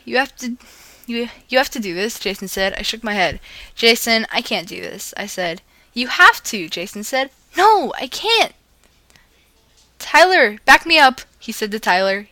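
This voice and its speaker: A woman's voice: mid-range voice, sharp tone, no room sound. A woman reading fan fiction aloud as a video host, playfully acting out the dialogue.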